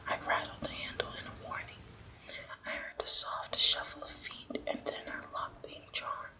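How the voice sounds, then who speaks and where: A woman narrating a horror story as ASMR in a breathy, unvoiced whisper, with hushed, deliberate delivery. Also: rough recording.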